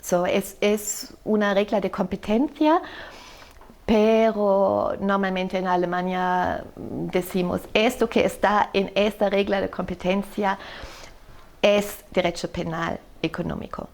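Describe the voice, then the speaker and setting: Slow, halting German-accented woman. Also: mid-range voice, steady tone, darkish tone. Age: middle-aged. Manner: halting, frequent fillers and self-corrections, says "so". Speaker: law professor. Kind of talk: explanatory interview answer.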